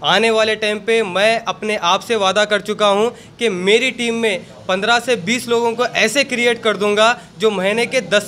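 A man's voice: sits high, sharp tone. A young man leading a team, giving an earnest, motivational speech into a handheld mic.

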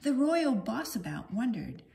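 High, slow, animated woman storyteller. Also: gravelly, slight background noise. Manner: theatrical, sing-song emphasis for young children. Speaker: storyteller reading a children's Bible story. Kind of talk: expressive read-aloud storybook narration.

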